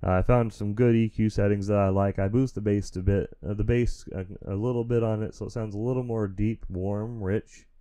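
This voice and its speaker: Deep-voiced man, casual, rambling. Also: fairly even intonation, a little fast, few pauses, dark tone, clean tone. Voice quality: naturally deep voice. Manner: rambling, frank, chuckles often. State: feeling sick, stuffy. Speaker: podcast host. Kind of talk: casual solo chat. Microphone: studio mic through audio interface.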